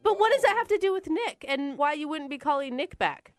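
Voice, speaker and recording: A woman hosting a radio show, chatting casually in an animated, reactive way as she questions callers. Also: high voice, fairly quick, no room sound, clean studio sound. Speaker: radio host.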